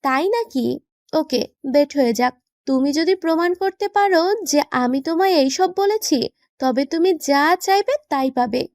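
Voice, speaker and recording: A woman's voice: high-pitched, animated, a little mellow, dry recording, clean studio sound. A woman playing a playful, pouty young wife who banters and mock-scolds in a romantic audio story. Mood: teasing, challenging. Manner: pouting, bantering, quick to protest.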